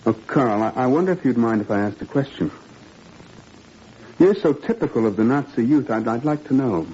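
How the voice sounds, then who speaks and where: A man's voice: mid-range voice, lively intonation, a little fast, warm tone, close to an everyday voice. A man playing an idealistic, troubled father in a 1940s radio drama, earnest and probing with wry irony. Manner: probing, earnest, wryly ironic. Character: idealistic French father, troubled, principled, quietly ironic. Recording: muffled, noisy old radio recording.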